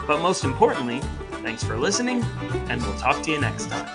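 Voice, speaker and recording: A man's voice: on the high side, sing-song, fairly quick, creaky, loud music behind the voice. A man hosting a podcast, reading a scripted sign-off in a wry, playful way. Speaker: podcast co-host.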